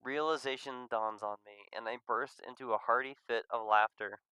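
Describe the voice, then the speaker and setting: A man reading a visual novel aloud as expressive first-person story narration. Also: mid-range voice, normal speed, clean tone, no echo, poor audio. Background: music.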